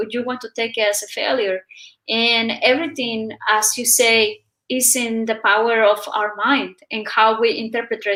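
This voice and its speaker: Halting higher-voiced woman host. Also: fairly bright tone, rough recording. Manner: halting, many fillers, admiring. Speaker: talk show host. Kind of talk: interview questions.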